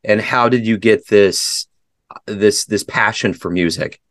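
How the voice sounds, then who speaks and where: A man's voice: on the low side, measured pace, fairly bright tone, no echo, studio quality. A man hosting an interview, asking friendly prompting questions. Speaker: interview host.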